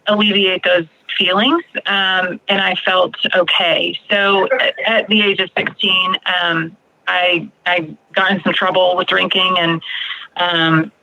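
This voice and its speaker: A woman's voice: fairly low pitch, level tone, slow, vocal fry, pushed and bright, highs cut off. A woman in her forties from Texas with an American accent, telling her personal story conversationally as a podcast guest. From Texas, United States. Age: 40s.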